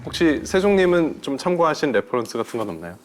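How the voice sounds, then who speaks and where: Male host, conversational interviewer, expressive intonation, music bed clearly audible. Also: fairly high pitch, fast. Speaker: host reading fan questions. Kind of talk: talk show hosting.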